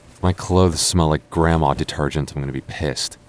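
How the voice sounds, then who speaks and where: A man's voice: extremely low pitch, fairly even intonation, moderate pace. A man playing a defensive, teasing young childhood friend with a secret crush, stammering and bickering in an intimate boyfriend roleplay. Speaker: ASMR roleplay voice actor.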